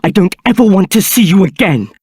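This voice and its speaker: An actor playing a kind, earnest young male novice monk in a video game, speaking gently and reassuringly. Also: high voice, sing-song, a little fast, husky, no echo, clean studio sound, exaggerated voice. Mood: bitter, hostile.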